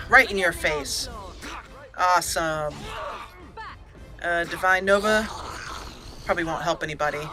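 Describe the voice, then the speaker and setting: A cheerful woman commentating her own game playthrough, chatty and upbeat, now and then reading out the game's text as a story. Her voice is bright and forceful, with faint game music playing softly behind her. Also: fairly low pitch. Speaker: let's play host. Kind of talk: casual gameplay commentary with roleplay narration.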